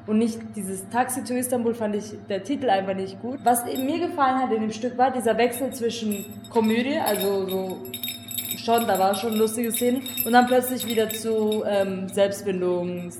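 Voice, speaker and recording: Zögernde junge Frau in hallendem Raum. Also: female voice, medium pitch, a little mellow. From Germany. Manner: hesitant, searching for words. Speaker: youth jury member. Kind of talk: casual spoken review. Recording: roomy echo, faint noise.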